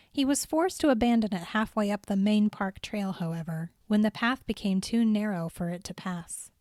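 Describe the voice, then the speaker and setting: A woman's voice: medium pitch, darkish tone, dry recording, clean studio sound. A woman reading scripted fiction as a storyteller, in audiobook narration.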